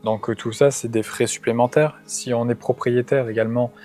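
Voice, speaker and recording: Male guest in his thirties, from England, mid pitch, halting delivery full of pauses, light music under. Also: fairly even intonation, dark tone. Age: 30s. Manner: hesitant, frequent "euh" fillers. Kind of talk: casual discussion.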